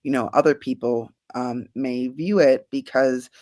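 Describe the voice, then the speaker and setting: A woman, a guest scientist, answering interview questions earnestly and thoughtfully, with frequent soft laughs. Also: extremely low pitch, measured pace. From Bahamas.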